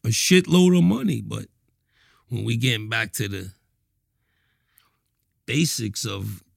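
A laid-back man talks in a low, gravelly voice. He trails off and repeats himself. The sound is dry and close. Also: animated, slow pace, no echo. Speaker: rapper guest. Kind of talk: casual interview talk.

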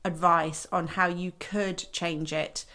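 A woman co-hosting a home design podcast, chatting casually and lively. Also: fairly low pitch, slow. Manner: lively, laughs easily, chips in. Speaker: podcast co-host. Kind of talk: casual chat.

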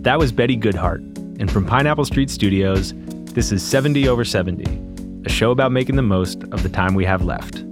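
A man's voice: on the low side, fairly quick. A man with an American accent, a podcast host reading a scripted introduction to an interview. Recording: dry studio, faint music behind.

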